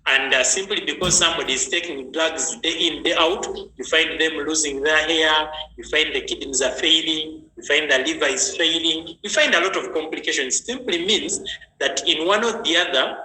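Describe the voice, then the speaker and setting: Man, very bright voice, echoey room, faint noise, chatty online host. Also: on the high side, normal speed. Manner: chatty, greets viewers by name. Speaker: health session host. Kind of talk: online training talk.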